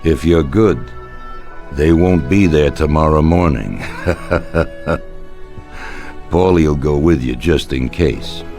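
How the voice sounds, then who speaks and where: Very deep-voiced, commanding mafia boss. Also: male voice, dark tone, soft background music. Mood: stern, commanding. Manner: measured, authoritative. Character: commanding older mafia boss, measured and menacing. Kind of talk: video game cutscene acting.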